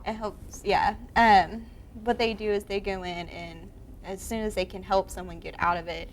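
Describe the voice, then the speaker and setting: A woman's voice: mid-range voice, steady tone, moderate pace. Young woman, charity run organizer, earnest interview delivery, mid-range voice, faint room hiss. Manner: earnest, with ums and uhs.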